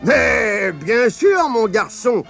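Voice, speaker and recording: A man voicing a sly fairground charlatan doctor who booms out a drawn-out sales pitch. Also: very animated, vocal fry, a bit bright, faint music behind, full character voice. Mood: hawking.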